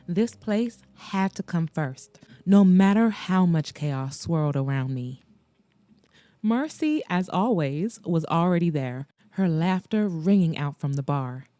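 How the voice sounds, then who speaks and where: A woman hosting a podcast and narrating an audiobook in a dramatic, expressive way, with slow emphatic pauses. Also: on the low side, no room sound.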